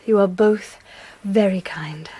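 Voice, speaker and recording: An actress with an English accent playing a courteous young Victorian gentlewoman, speaking politely and formally in British radio drama style. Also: medium pitch, slow pace, slightly rough. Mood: polite, grateful.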